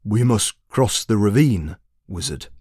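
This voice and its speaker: Man acting a low, restrained army commander. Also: animated, a little mellow, slightly rough, no room sound, studio quality. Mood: formal, restrained. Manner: formal, measured, clipped patience. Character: battle-worn male army commander suppressing rage.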